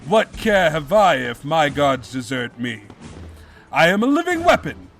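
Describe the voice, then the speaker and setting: A pompous evil pony king of comic audio drama. His voice sits fairly high with a gravelly edge, swinging dramatically over music as he makes bombastic declarations. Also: big pitch swings, measured pace, a bit bright, full character voice. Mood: indignant, grandiose. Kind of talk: comedic audio drama acting.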